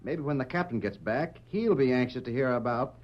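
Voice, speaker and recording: A man's voice: medium pitch, fairly quick, close to an everyday voice. A man playing a desperate, stubborn townsman in an old radio western, blunt, insistent and folksy. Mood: indignant. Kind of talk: 1940s radio western acting. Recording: dull vintage radio recording.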